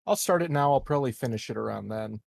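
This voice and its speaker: A man's voice: mid-range voice, animated, quick pace, vocal fry, dry recording, studio quality. A man chatting casually as a player in a tabletop roleplaying game.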